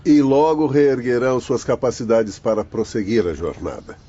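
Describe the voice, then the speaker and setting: A man's voice: medium pitch, lively intonation, moderate pace, mellow, breathy edge, dull audio. A man acting a wise, consoling spirit doctor in an audiobook drama, with a formal, moralising manner. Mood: calm counsel.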